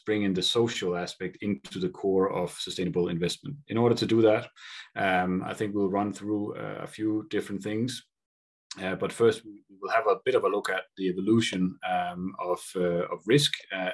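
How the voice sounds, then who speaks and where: Male conference speaker, Scandinavian accent, deep husky voice, halting delivery. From Denmark and Sweden. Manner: many fillers and repeated words. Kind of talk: conference presentation. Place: video link from Colombia.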